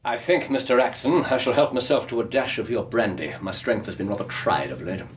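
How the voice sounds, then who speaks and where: An actor playing a brilliant, self-assured English detective in a radio drama, precise, lecturing and wry. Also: male voice, mid-range voice, a little fast, husky. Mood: wry, courteous.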